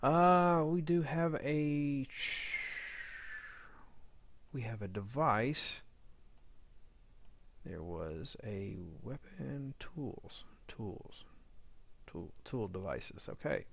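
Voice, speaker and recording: A man's voice: medium pitch, lively intonation, extremely slow, gravelly, pauses often, a little hiss or hum. A man narrating an informal editing demo as a tutorial, thinking aloud and muttering to himself as he works. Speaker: audio drama editor giving a tutorial.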